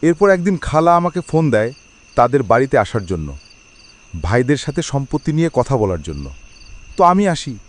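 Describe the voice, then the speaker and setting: A man's voice: mid-range voice, very animated, normal speed, lightly acted. A man voicing the sorrowful ghost of a young village visitor in a cartoon, confiding and matter-of-fact. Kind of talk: cartoon dialogue.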